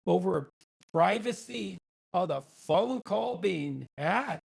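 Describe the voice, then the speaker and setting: Man putting on a high, gravelly, befuddled caller. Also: animated, exaggerated voice. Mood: indignant. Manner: halting, repetitive, argumentative. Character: befuddled, indignant man arguing on a phone call. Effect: AI voice changer. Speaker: scambaiter. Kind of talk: improvised prank phone call.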